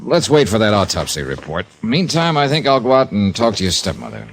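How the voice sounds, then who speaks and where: Radio detective: low, animated pitch, clipped and skeptical, politely businesslike, wry insurance investigator type. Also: male voice, fairly quick, full character voice. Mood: brisk, probing. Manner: clipped, matter-of-fact, dry. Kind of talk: old-time radio detective drama, dialogue and narration.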